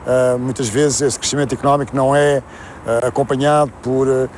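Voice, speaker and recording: A man's voice: medium pitch, steady tone, brisk, a little mellow, slight background noise. A man explaining hesitantly in an interview, often tagging on questions.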